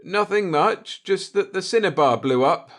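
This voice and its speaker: A man voicing a guilty, scheming spaceman in an audiobook, faltering and evasive as he feigns calm. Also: sits high, measured pace, pitched above the performer's own voice. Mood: nervous, evasive. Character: guilty, scheming spaceman hiding his panic. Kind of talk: dramatised audiobook character dialogue.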